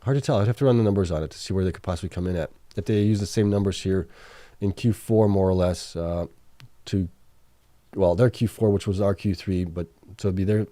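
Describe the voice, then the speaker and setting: A man with a lowish, mellow voice hosts a late-night livestream and works through figures out loud, stopping often to correct himself. His voice is starting to wear out. The sound is dry and close, with no room echo. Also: brisk. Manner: thinks aloud, many fillers and self-corrections; reads out viewer chat questions. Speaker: livestream host. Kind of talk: casual livestream chat reading financial results.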